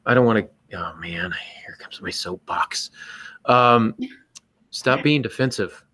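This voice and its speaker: A man's voice: lowish voice, unhurried, bright, forceful tone, no room sound. A man co-hosting a podcast, speaking casually but emphatically and bluntly, acting out little exchanges as he gives advice. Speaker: podcast co-host and writer.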